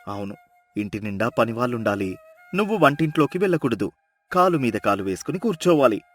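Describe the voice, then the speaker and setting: Guy doing a poor greedy village husband in a storybook, excitable, bouncy pitch. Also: brisk, faint music behind. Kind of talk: storybook drama acting.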